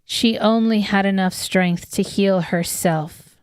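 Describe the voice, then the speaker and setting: Slow, clear woman narrating fantasy fiction. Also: mid-range voice, steady tone, no echo. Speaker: audiobook narrator. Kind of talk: scripted fantasy story narration.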